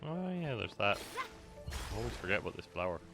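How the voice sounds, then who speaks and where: A man's voice: fairly low pitch, very animated, brisk, creaky, over music. A man streaming a video game, giving casual commentary with joking, rambling asides.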